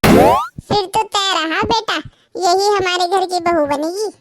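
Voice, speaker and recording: A performer voicing a bossy middle-aged mother-in-law who scolds and gives orders, in an animated family cartoon. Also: very high-pitched, takes it slowly, heavy background noise. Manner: scolding, commanding. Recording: cartoon with music underneath.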